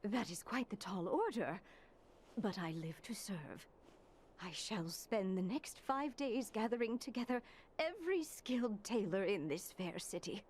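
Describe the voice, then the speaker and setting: A woman plays a fantasy tailor who fawns politely over noble customers. Her delivery is formal and effusive, with a highly animated rise and fall, suited to a game cutscene. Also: unhurried, slight background noise, natural voice. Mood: businesslike, gracious. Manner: formal, fawning, theatrical politeness. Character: gracious, effusive, deferential lady tailor. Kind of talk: video game cutscene acting.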